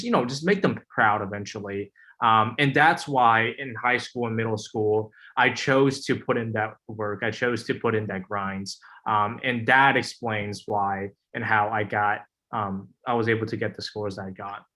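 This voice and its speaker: A young man sharing his story as a webinar panelist over a video call, earnest and halting with frequent ums and uhs. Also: on the low side, bright, forceful tone.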